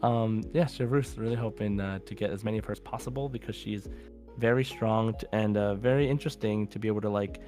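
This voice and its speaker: A man's voice: lowish voice, fast talker, a little mellow, faint music behind. A man hosting a casual gaming podcast, talking in an enthusiastic, rambling way. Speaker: podcast host.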